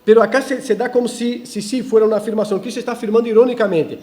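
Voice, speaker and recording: High, mellow-voiced preaching man. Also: very fast. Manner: animated preaching with frequent restarts and ironic asides. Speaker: pastor. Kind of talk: expository sermon. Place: church.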